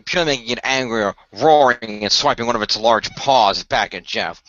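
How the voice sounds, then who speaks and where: A man with a bright, forceful voice narrates a fantasy adventure as game master. He improvises haltingly and repeats phrases. The recording is dry, close and slightly dull. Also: mid-range voice, no echo. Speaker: game master, co-host of a fan radio play.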